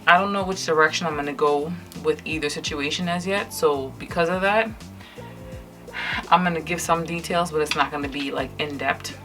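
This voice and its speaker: A young woman from Trinidad and Tobago talks to her camera at home in a low voice, a light Trinidadian lilt under her words. She sounds tired but candid, her talk full of fillers and restarts, while clear music plays behind her. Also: normal speed, bright, forceful tone. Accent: Trinidadian English, lightly. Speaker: vlogger. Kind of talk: casual chat to camera while doing makeup. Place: indoors at home, night.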